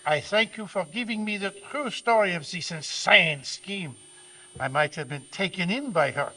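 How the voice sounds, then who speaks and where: A man's voice: high voice, normal speed, sharp tone, heavily acted. A man playing a gracious, earnest botanist in an old-time radio play. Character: polite, earnest French male botanist, bewildered and gracious. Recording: echoey live hall with mains hum.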